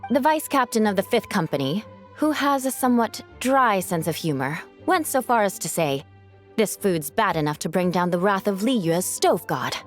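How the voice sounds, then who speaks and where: A woman playing a proud, composed young knight captain in a video game, speaking in a measured, formal way with dry wit. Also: medium pitch, animated, close to an everyday voice. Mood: mildly rueful. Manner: measured, formal, dryly wry. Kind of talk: video game character dialogue. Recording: studio voice over game music.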